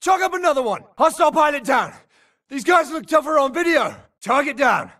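A man's voice: very high voice, lively intonation, fairly quick, fairly bright tone, distant mic. A man playing an infantry grunt in a military shooter game, barking urgent, clipped combat callouts. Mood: cocky. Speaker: soldier in a video game.

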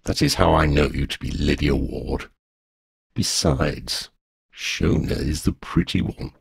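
A vast, scheming she-dragon, voiced by a male storyteller, speaking slowly with rumbling, gloating menace. The voice is very low and gravelly. The recording is close and dry. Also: no echo, heavily acted. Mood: amused. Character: huge scheming female dragon, smug and menacing.

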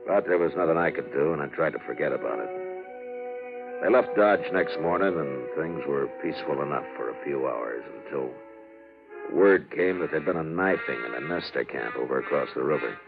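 A man with an American accent playing a stern, watchful frontier marshal in a radio western, speaking in terse, measured lines. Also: rumbling low, lively intonation, creaky, narrow, tinny audio, exaggerated voice. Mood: somber narration. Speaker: actor, lead in radio western. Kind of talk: 1950s radio western acting. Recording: band-limited 1950s radio recording with music.

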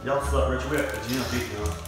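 A young man vlogging with friends in a gym, loud and joking, trash-talking as he plays a video game, filmed on a phone. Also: medium pitch, fairly even intonation, racing. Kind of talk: rowdy casual vlog banter. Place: large echoey gym room. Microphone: phone camera. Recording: very echoey room with music behind.

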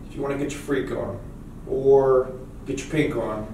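A man's voice: medium pitch, steady tone, normal speed, dark tone, lightly acted. An actor reading a friendly, laid-back bike shop man in casual slang at a screenplay table read. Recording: echoey room, distant mic, faint noise.

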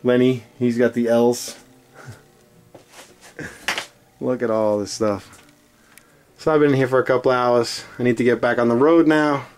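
A man casually narrating a handheld walking tour of a big record store, talking excitedly to his viewers. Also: mid-range voice, measured pace, pauses often, slight background noise. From New York. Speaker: vlogger touring record stores. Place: large indoor record store. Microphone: handheld camera mic. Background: store music playing.

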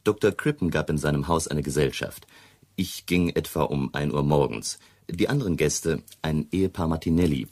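An actor playing a worried, insistent English gentleman in a German radio crime drama, formal, earnest and husky. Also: extremely low pitch, exaggerated voice.

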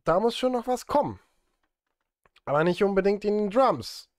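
A man's voice: high voice, animated, moderate pace, dry recording, clean studio sound. A man, chatting casually and playfully on a livestream while he works. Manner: playful, talking to chat and himself. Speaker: music streamer and composer. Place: small indoor room, livestream setup.

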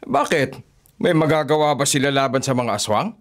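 A man's voice: mid-range voice, brisk, close to an everyday voice. A middle-aged Filipino actor playing a protective, stubborn father in a radio drama. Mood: stern, worried. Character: protective, stubborn father forbidding his grown daughter. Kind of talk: Tagalog radio drama acting. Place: small padded radio studio. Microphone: close studio condenser with pop filter.

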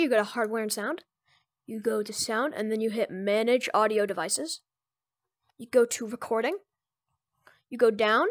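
A speaker giving informal, improvised step-by-step instructions in a casual screen-recorded software tutorial. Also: medium pitch, no echo, studio quality. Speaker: tutorial presenter.

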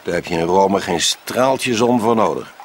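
An actor playing a self-satisfied, grumbling Roman prefect in a radio play, musing in a pompous, drawn-out way. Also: male voice, low-pitched, slight background noise. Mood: disdainful. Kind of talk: radio drama acting.

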